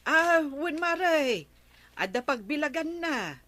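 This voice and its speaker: Grudging neighbour woman, Ilocano radio comedy, highish dry voice, full of excuses. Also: expressive, slow, a bit bright, no echo, exaggerated voice. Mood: evasive. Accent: Filipino, Ilocano. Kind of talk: broadcast radio comedy drama acting.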